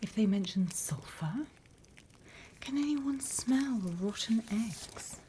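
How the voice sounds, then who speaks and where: A British woman voicing a prim demon teacher in a soft, deliberate whispered ASMR roleplay. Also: fairly high pitch, lively intonation, a little hiss or hum, voice pushed up. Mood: patient, instructive. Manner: soft, deliberate, instructional, with pauses. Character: female demon teacher, softly menacing, encouraging, prim.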